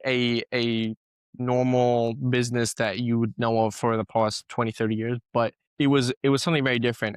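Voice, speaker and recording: A man's voice: medium pitch, clear, resonant tone, no room sound. A man in his twenties with a South African accent, a guest telling his story in a casual podcast interview, chatty with many fillers and restarts. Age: 20s. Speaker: guest entrepreneur.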